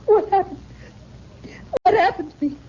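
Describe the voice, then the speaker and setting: A woman's voice: extremely high pitch, moderate pace, warm tone. An actress playing a troubled, anxious young woman in an old-time radio drama. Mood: bewildered. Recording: muffled, faintly noisy old radio recording.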